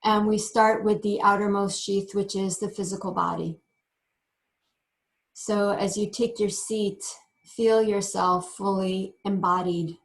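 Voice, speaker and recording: A woman teaching yoga meditation, giving gentle instructions in short phrases with frequent pauses. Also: mid-range voice, fairly even intonation. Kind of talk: guided meditation.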